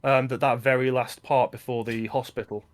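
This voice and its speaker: A man's voice: mid-range voice, flat delivery, a little fast. Chatty man co-hosting a film podcast. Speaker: podcast co-host. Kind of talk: casual film discussion.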